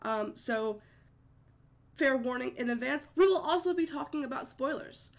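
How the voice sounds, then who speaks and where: A woman's voice: high-pitched, animated, moderate pace, creaky. A woman hosting a podcast, slightly congested from a cold, chatting with easy enthusiasm. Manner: chatty, enthusiastic, laughs easily. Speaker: podcast host. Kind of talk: casual chat.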